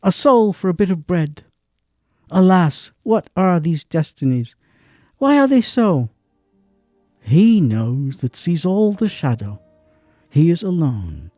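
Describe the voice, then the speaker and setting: A man's voice: fairly high pitch, very animated, slow, pauses often, warm tone, no echo, soft background music. A man narrating a radio drama in a grave, emphatic way that swells on key words.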